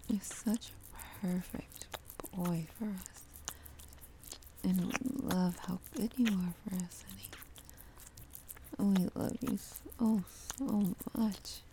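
A noisy recording with soft clicks carries a woman's mostly whispered voice. Her delivery is slow and drawn-out, breathy and soothing, with soft hums in an intimate adult ASMR style. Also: medium pitch, fairly even intonation. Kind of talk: sexually explicit whispered roleplay audio, ASMR.

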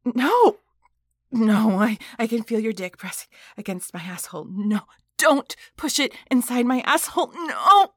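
A woman playing a defiant, domineering female boss in an adult audio drama, with breathy delivery that often drops to a hush. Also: fairly high pitch, lively intonation, unhurried, dry recording, studio quality. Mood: distressed, defiant. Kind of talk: erotic audio roleplay acting.

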